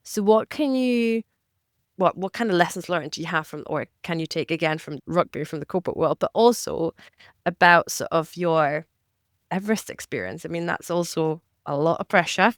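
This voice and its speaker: A woman's voice: fairly low pitch, normal speed, no room sound, studio quality. A woman co-hosting a podcast, interviewing a guest in a friendly way with long, searching questions. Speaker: podcast co-host.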